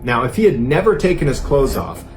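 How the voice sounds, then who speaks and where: A man's voice: mid-range voice, sing-song, brisk. A man with an American accent telling a true-crime mystery in an earnest, emphatic, suspenseful storytelling style. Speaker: storyteller host of a mystery series. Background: background music under the voice.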